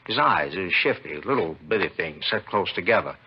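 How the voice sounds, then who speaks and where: Husky, shaken elderly diamond dealer. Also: male voice, sits low, expressive, a little fast, full character voice. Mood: bitter, regretful. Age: older. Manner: rambling, shaken, repeats himself. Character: agitated elderly male diamond dealer, robbery victim. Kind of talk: 1950s radio drama acting. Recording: dull 1950s radio recording.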